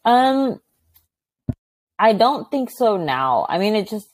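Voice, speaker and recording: A young adult woman, a high school teacher, chatting animatedly as a guest on an interview podcast. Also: slow, dry recording. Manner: animated and chatty, acting out quoted exchanges. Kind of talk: casual interview conversation.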